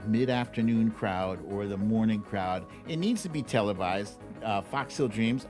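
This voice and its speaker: A man hosting a live show with casual, conversational commentary, using playful emphasis and dramatic pauses. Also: mid-range voice. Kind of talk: casual livestream commentary, reading viewer comments.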